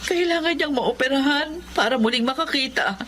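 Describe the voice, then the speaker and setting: A woman's voice: sits high, normal speed, background noise, close to an everyday voice. An actress narrating and playing a humble, devoted wife and mother in a radio drama, speaking earnestly and pleadingly. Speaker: narrator and lead character.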